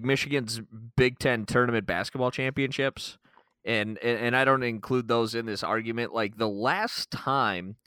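A man's voice: mid-range voice, a bit bright, no echo. Male co-host, rambling and casual, dry close recording. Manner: rambling, frequent "like". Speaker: podcast co-host. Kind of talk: casual chat.

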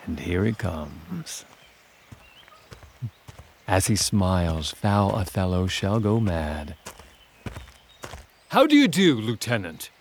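Guy acting sneaky manipulative soldier villain, stage shakespeare, pitch all over, urgent and slippery, bit of noise behind. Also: very animated, takes it slowly, creaky, long pauses, exaggerated voice. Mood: gleeful scheming. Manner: insinuating, urgent, theatrical. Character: scheming, two-faced soldier villain manipulating his general. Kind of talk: Shakespearean tragedy acting in modernized English.

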